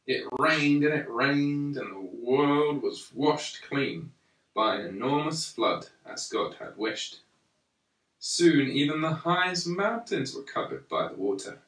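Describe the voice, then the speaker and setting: A man reading a children's story aloud in a measured, deliberate way, pausing between phrases. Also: mid-range voice, a bit bright. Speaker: storyteller reading a Bible picture book to a young child. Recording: roomy, echoey, slightly distant microphone.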